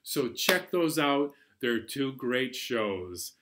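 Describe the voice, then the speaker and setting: A man in his forties presenting a storytime for young children in an animated, playful manner, recorded at home in a small room. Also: mid-range voice, extremely slow. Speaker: children's library storytime host.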